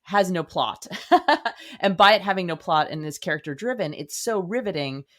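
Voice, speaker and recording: A woman's voice: lowish voice, lively intonation, normal speed. A woman talks conversationally as a guest in an interview. She is effusive and leans on her words with emphasis, like a writer explaining her craft. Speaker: guest, playwright.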